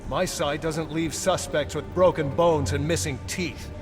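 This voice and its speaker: A man's voice: a little high, fairly even intonation, measured pace, music in the background, close to an everyday voice. An actor playing a hostile, tough male police officer in a video game, barking orders. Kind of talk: video game cutscene acting. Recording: loud score behind voice.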